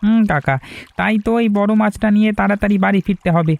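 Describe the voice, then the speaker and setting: A man voicing a friendly, hearty village fisherman and father in an animated cartoon. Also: high-pitched, quick pace, gravelly, no room sound.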